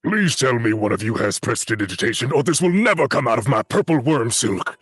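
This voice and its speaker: Pompous, husky fantasy adventurer. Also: male voice, mid-range voice, expressive, fairly quick, dry recording, clean studio sound, faint music behind. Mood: fastidious. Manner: pompous, polysyllabic vocabulary. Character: verbose, fussy, dapper adventurer and spellcaster. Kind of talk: fantasy audio drama acting.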